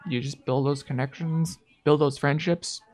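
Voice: mid-range voice, steady tone, moderate pace, a little mellow. A livestream host chatting casually with a guest in an informal, encouraging way. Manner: informal and encouraging, with 'man' interjections. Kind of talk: casual chat.

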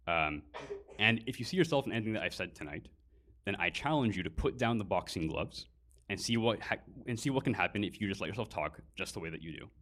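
A man's voice: fairly low pitch, animated, fast talker, fairly bright tone. A man giving a prepared personal speech at a live event, earnest, with fillers between phrases. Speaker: guest speaker.

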